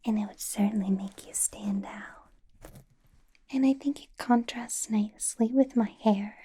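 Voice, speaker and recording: A possessive female vampire boss, played by a woman, murmurs in a soft, breathy whisper, unhurried and slow. Her voice sits a touch high and sounds dark and mellow, playful yet controlling in an ASMR roleplay. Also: slight background noise, lightly acted. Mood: teasing whisper. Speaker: ASMR artist.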